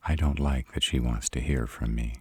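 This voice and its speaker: A man playing a sarcastic, brooding vampire with a soft spot, dry and grudging, in a roleplay audio drama. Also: a bass voice, steady tone, moderate pace, slightly rough, dry recording, heavily acted. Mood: suspicious, sardonic.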